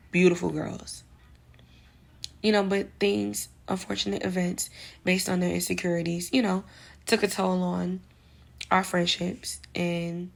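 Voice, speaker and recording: Woman, New York accent, young adult, slightly low voice, chatty vlog talk to viewers. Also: vocal fry. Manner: chatty, speaks straight to viewers, many "like" fillers. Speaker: vlogger. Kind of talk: casual advice vlog.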